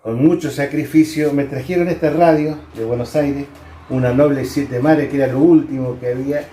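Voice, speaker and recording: An older Argentine man chats casually about bygone days in an Entre Ríos accent. His voice is mellow and relaxed. It is recorded with a roomy echo and some noise. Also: medium pitch, normal speed, soft background music. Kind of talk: casual reminiscing chat.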